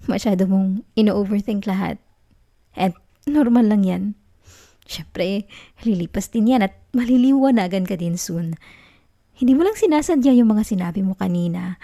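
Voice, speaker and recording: A woman plays a possessive young Filipino girlfriend who refuses to let go. Her voice is soft and mellow, with a creaky fry in it, recorded very dry and close. She pleads tenderly in an intimate ASMR roleplay. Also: medium pitch, no room sound, lightly acted. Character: clingy, possessive young student girlfriend in denial.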